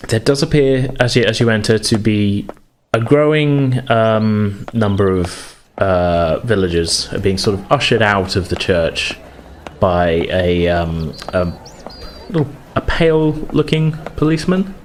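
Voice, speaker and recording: Low-voiced male game master, hesitant and informal. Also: measured pace. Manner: hesitant, informal, piecing scenes together. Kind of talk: improvised tabletop game narration. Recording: faint noise and ambience behind.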